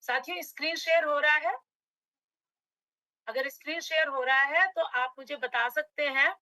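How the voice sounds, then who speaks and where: A middle-aged woman from Uttar Pradesh presents a motivational webinar with a slightly hoarse throat. Her voice sits high and bright, and the sound is dry and close on a computer mic. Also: normal speed, no room sound. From Uttar Pradesh, India. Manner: addresses audience as friends, motivational. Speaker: direct selling trainer.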